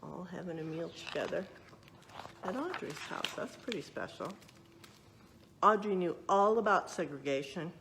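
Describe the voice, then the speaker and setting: A woman's voice: on the low side, lively intonation, normal speed, pauses often, heavy background noise. A woman reading a picture book aloud expressively, adding explanatory asides and questions for young listeners. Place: museum exhibit space.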